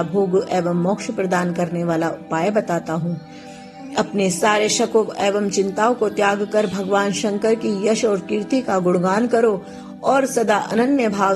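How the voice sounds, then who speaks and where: A woman voicing a serene, kindly male god who gently and formally instructs a devotee in a scripture story. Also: medium pitch, close to an everyday voice.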